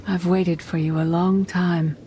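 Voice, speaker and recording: Woman acting a mellow, solemn wise Jedi master. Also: on the low side, flat delivery, slight background noise, lightly acted. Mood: solemn, calm. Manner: measured, solemn, cryptic. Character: wise, weary female Jedi master living as a hermit. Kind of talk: video game voice acting.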